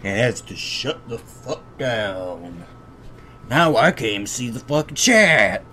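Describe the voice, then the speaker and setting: A man of medium pitch streams a story game over faint background noise. He reads the text aloud and breaks in with his own commentary. His manner is irritable and thick with swearing. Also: lively intonation, slow pace, sharp tone. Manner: irritated, profane ranting. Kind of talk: livestream playthrough, reading visual novel text aloud with commentary.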